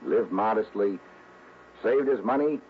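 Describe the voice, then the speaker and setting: A man's voice: lowish voice, measured pace, natural voice. An actor playing a worldly insurance man in a 1960s radio drama, explaining things in a matter-of-fact way. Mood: measured, resigned. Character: worldly insurance man, former narcotics investigator. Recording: tinny, hissy old radio recording.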